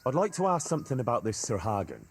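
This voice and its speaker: A man's voice: mid-range voice, huge pitch swings, fast, heavy background noise. A man playing an earnest, inquisitive young man-at-arms in a video game, polite and plain-spoken. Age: young adult. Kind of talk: dramatic video game cutscene dialogue.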